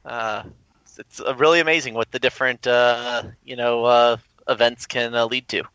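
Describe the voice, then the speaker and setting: A man's voice: mid-range voice, steady tone, moderate pace, bright, forceful tone, smooth, no room sound. A man speaking as an interview guest, explaining things casually over a slightly muffled remote connection. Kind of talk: casual, informative conversation. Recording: slightly dull remote call audio.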